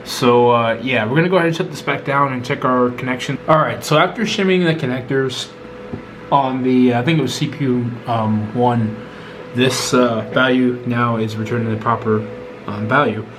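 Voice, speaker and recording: A man's voice: mid-range voice, normal speed, background noise. Stuffy-nosed man chatting through a tech build. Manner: relaxed and chatty, with frequent ums and self-corrections. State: stuffy and congested from a cold. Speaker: YouTube tech vlogger. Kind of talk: casual hands-on tech vlog narration.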